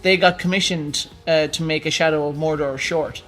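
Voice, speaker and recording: A man with a fairly high voice talks casually as a podcast co-host. It is loose, conversational talk, with music playing faintly behind him. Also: steady tone, normal speed, a bit bright. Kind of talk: casual chat about film and games.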